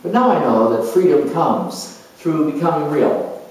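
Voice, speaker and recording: A man playing an earnest, reflective spiritual seeker in a dramatized monologue, speaking haltingly and conversationally with pauses. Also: on the high side, animated, a little mellow. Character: earnest spiritual seeker, male adventurer turned motivational broadcaster. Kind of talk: monologue in character, delivered conversationally. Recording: echoey room, distant microphone.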